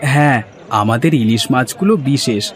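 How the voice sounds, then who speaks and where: A man's voice: mid-range voice, moderate pace, a little hiss or hum, lightly acted. A man voicing a proud, earnest village man in a cartoon, formal and promotional as he welcomes people. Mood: proud, welcoming. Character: proud, earnest village man launching a hilsa fish fair. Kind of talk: scripted cartoon dubbing.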